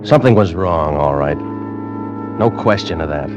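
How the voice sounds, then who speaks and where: An actor playing a steady, dutiful ship's officer who narrates in a measured, matter-of-fact way, in the style of 1940s radio drama. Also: male voice, deep voice. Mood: measured, brooding. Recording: dull vintage radio recording with music.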